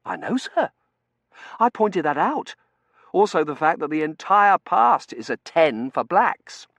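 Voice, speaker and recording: A nervous young undergraduate man voiced by a male audiobook reader. His voice is pitched high, hesitant and deferential, with a tendency to stammer. The sound is dry and close. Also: sing-song, measured pace, vocal fry, a bit bright, no room sound, heavily acted.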